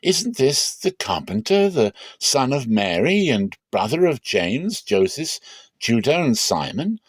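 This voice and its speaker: A man's voice: mid-range voice, big pitch swings, takes it slowly, breathy edge, dry recording, heavily acted. A man voicing sceptical, astonished townspeople in a dramatic scripture reading, with a questioning manner.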